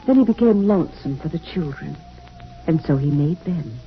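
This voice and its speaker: An actress playing a gentle, sorrowful android wife in a 1950s radio drama, speaking softly and wistfully in measured phrases. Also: female voice, deep voice, lively intonation, faint music behind. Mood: wistful, tender. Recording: muffled, noisy old radio recording.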